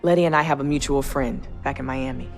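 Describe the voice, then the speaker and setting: Wary, exasperated young skeptic. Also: female voice, very deep voice, fast, gravelly, faint music behind. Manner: exasperated, arguing. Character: cautious young woman, anxious skeptic. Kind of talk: video game cutscene acting.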